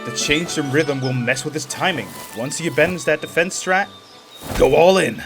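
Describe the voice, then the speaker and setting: A man voicing a brash, confident swordsman mentor in an animated fan dub, talking in a casual, cocky, slangy way. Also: on the high side, normal speed, natural voice. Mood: emphatic coaching. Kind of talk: fan dub character acting. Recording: loud music under the voice.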